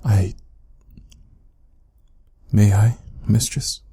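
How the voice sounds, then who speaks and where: A man playing an obsessive, devoted butler in an ASMR roleplay, speaking formally and intimately in a soft, breathy, dark voice. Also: lowish voice, fairly even intonation, very slow, no echo, heavily acted. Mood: reverent sorrow. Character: devoted, obsessive yandere butler, tenderly protective of mistress. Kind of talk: ASMR audio roleplay acting.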